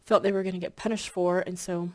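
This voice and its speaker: A woman's voice: on the low side, steady tone, fast talker, darkish tone. A woman, a spiritual hypnotherapy practitioner, chats casually to her viewers, a little congested with a slightly scratchy voice, rambling with many ums and restarts. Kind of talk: casual chat to camera.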